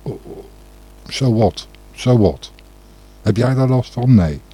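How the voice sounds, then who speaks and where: A man's voice: mid-range voice, expressive, slow, mellow, slightly rough. A man the hosting a radio show with casual, rambling, blunt and opinionated talk.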